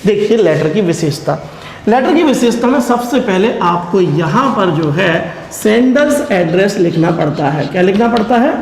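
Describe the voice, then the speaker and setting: A man's voice: sits high, lively intonation, moderate pace, darkish tone. A man teaching English in a didactic way, asking questions and answering them himself. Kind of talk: classroom lesson mixing Hindi explanation with slowly dictated English. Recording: echoey reverberant room with faint noise.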